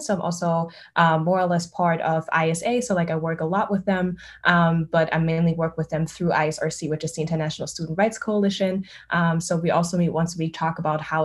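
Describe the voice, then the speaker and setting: A young woman from Germany talks on a student webinar panel from a video call. Her voice sits a bit low, and she chats easily with lots of fillers. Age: young adult. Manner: chatty, many "like" and "um" fillers. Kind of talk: casual self-introduction.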